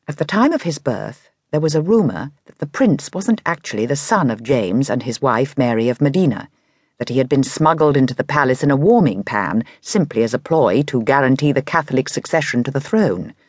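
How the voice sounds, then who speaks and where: A woman's voice: a bass voice, expressive, a little fast, a little mellow, husky, no room sound, studio quality. A woman with an English accent narrating a scripted history documentary in an explanatory way with lightly conversational asides.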